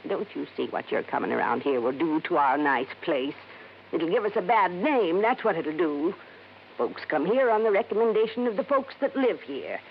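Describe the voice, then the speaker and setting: A woman's voice: fairly low pitch, expressive, moderate pace, gravelly. An actress playing a big, domineering middle-aged woman who is defensive, scolding and folksy, in old-time radio drama style. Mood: defensive, indignant. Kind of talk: 1940s-50s radio acting. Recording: muffled, noisy old radio recording.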